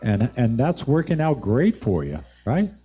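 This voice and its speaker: Mellow-voiced man hosting a casual interview. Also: mid-range voice, very animated, measured pace, soft background music. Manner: rambling, conversational. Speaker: show host interviewer. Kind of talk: casual on-air interview chat.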